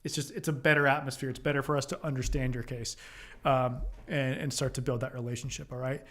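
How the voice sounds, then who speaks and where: A man's voice: medium pitch, little pitch movement, fast talker, fairly bright tone. An American man from Oklahoma giving casual, direct advice to live-stream viewers as a lawyer, reassuring and repeating phrases for emphasis. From Oklahoma, United States. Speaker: immigration lawyer hosting a live Q&A.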